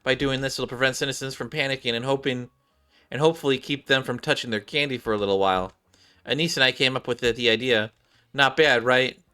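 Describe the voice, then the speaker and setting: Bright-voiced man reading game dialogue aloud. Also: medium pitch, quick pace, smooth. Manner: stumbles over words and rereads lines. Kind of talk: live read-aloud of game cutscene dialogue.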